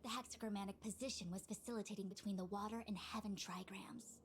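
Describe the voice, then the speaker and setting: A woman acting a brisk, self-assured young female diviner in a video game, speaking formally and precisely. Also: medium pitch, steady tone, slight background noise, natural voice.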